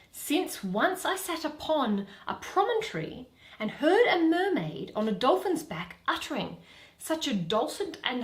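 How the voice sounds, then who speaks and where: A woman voicing a commanding, scheming fairy king in deliberate, imperious Shakespearean verse. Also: high voice, echoey room. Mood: beckoning, reminiscing.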